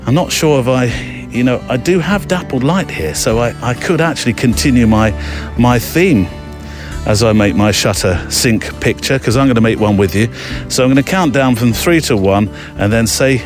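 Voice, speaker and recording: A man hosting a podcast in a casual, rambling, enthusiastic and self-deprecating way. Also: mid-range voice. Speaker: podcast host. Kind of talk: casual chatty podcast presenting.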